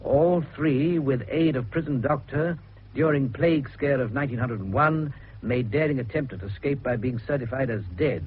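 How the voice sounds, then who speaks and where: An actor playing a gruff, sceptical middle-aged police investigator in a radio detective drama, British and brusque in manner. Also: male voice, mid-range voice, normal speed, close to an everyday voice. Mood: reluctant, dry reading. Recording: tinny, noisy radio recording.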